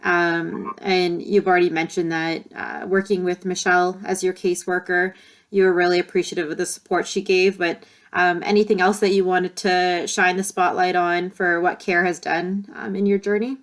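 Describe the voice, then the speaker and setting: A woman's voice: lowish voice, flat delivery, moderate pace. A woman hosting a podcast interview, friendly and using many fillers. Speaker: podcast host.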